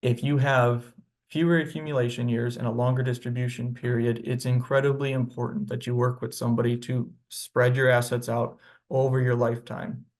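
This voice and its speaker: A man's voice: mid-range voice, flat delivery, a little fast, darkish tone. A man, a financial advisor, giving a webinar presentation in a conversational, explanatory way.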